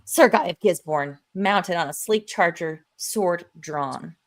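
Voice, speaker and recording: A woman's voice: lowish voice, takes it slowly. A woman hosting a live script read, narrating the scenes in between casual chat.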